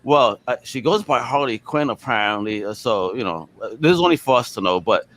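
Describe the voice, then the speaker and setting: A man's voice: mid-range voice, animated, brisk, fairly bright tone, dry recording. A man hosting a podcast in an upbeat, slangy, casual style, talking like an enthusiastic hype man. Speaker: podcast host.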